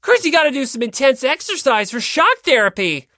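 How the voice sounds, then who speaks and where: A brash, sporty teenage girl, as an audiobook reader plays her, gung-ho and forceful. The voice is pushed up high and swings widely, with a dry, close sound. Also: big pitch swings, a little fast, dry recording, heavily acted. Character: loud, brash, energetic athletic teenage girl.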